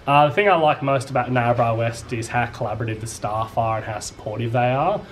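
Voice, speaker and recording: A man's voice: mid-range voice, fast talker, a little hiss or hum. A young Australian man, a primary teacher from New South Wales, talking casually to camera in a classroom with plenty of ums. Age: young adult. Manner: relaxed, with frequent ums and uhs. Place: classroom with space posters.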